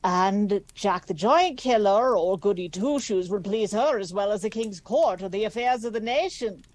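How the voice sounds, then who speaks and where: An actress playing a kindly, gossipy servant of a great house in an audio drama, talking in a folksy, confiding way. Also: female voice, medium pitch, lively intonation, normal speed, a little mellow, natural voice.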